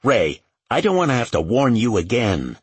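A man performs a blunt male federal agent in a thriller audiobook. He speaks with firm authority, husky and matter-of-fact, in a dry recording. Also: deep voice, big pitch swings, a little slow, no echo, heavily acted. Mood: snapping.

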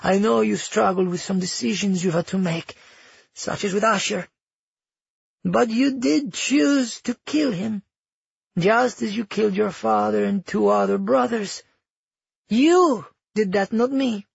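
An elderly royal matriarch played by a male narrator, high in pitch and husky at the edges. She is cold and manipulative, wrapping calm threats in measured sweetness, in a storybook reading. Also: dry recording, lo-fi sound, exaggerated voice. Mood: coldly persuasive. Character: Neela, cold, manipulative elderly royal grandmother, falsely tender.

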